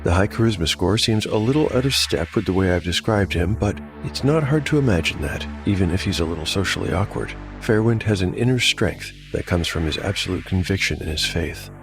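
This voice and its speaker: A man with a very low, creaky voice narrates a fantasy tabletop game. His delivery is measured and reflective, like a scripted game master, with music clearly playing underneath. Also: expressive.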